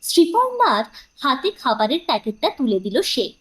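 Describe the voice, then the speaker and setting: High-voiced woman narrating. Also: sing-song, moderate pace, fairly bright tone, husky. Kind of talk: scripted dramatic story narration.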